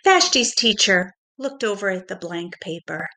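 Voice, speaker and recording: A middle-aged woman reads a children's storybook at a very unhurried pace. Her pitch is medium, and she is expressive and deliberate, speaking as a teacher does to small children. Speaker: pre-K teacher reading to children. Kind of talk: children's storybook read-aloud.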